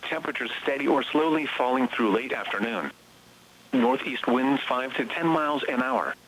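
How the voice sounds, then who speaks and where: Husky synthetic male weather radio announcer. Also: mid-range voice, normal speed, fairly bright tone. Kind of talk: scripted automated weather bulletin. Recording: weather radio broadcast, slightly muffled sound.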